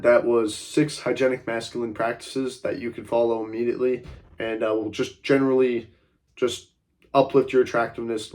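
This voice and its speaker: A man's voice: medium pitch, a little mellow. A young man who is a little congested, giving casual self-improvement advice in a rambling way, filling his talk with "like" and "uh" and speaking bluntly with the odd swear word. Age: young adult. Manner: rambling, frequent fillers like "like" and "uh".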